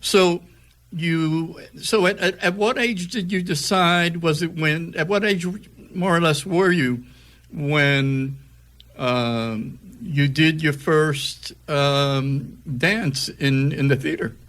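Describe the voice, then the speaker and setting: Halting man with a higher-pitched voice. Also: steady tone, fairly bright tone. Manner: halting, often repeats words mid-sentence. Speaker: radio interview host. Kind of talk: casual conversational interviewing.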